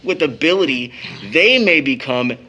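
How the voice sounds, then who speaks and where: A man's voice: a little high, lively intonation, moderate pace, pushed and bright. A man acting as a proud young uncle in an audio drama, with a theatrical, formal way of talking. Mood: bitter, earnest. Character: playful, teasing young uncle, mock-pompous, proud, later embittered.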